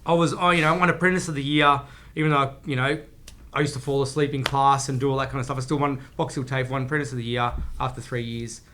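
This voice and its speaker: A man's voice: medium pitch, fairly even intonation, sharp tone, a little hiss or hum. An Australian man in his forties, a chef telling his career story as a podcast guest, rambling with frequent restarts. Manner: rambling, restarts often, speaks in quick bursts. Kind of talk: casual storytelling interview.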